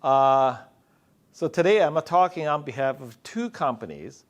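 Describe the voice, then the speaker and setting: A middle-aged male psychiatrist giving a conference talk, speaking loudly and slowly and joking with the audience. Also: fairly high pitch, lively intonation, highs cut off. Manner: loud, slow-paced, joking with the audience.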